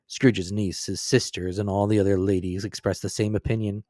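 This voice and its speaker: A man narrating a classic novel as a dramatic, expressive storytelling reading. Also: lowish voice, normal speed, no room sound, studio quality.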